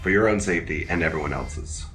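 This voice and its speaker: An actor playing a formal, measured, deadpan security officer in a science fiction audio drama. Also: male voice, a bass voice, slight background noise. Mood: firm, dry. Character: stiff, dutiful male security officer on a spaceship. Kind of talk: scripted audio drama acting.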